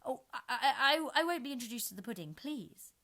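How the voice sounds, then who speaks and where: A woman's voice: a little high, animated, a little fast, sharp tone, pitched above the performer's own voice. A woman reading aloud, voicing a polite young girl who stammers and hesitates when nervous. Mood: flustered.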